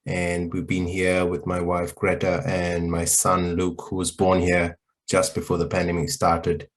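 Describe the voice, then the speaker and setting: Low-voiced man in his thirties from Bahrain, halting and casual panel guest. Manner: hesitant, many fillers and repeats. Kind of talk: casual conversation.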